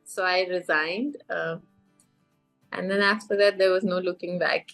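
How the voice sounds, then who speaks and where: A woman's voice: fairly low pitch, fairly even intonation, a little slow, a bit bright, faint music behind. A middle-aged woman from Iran, a life coach, telling her story in a podcast interview with many fillers and restarts.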